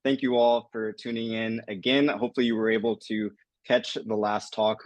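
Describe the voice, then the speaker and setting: A man's voice: lowish voice, no room sound. A man moderating a panel discussion, introducing guests in an upbeat, scripted way.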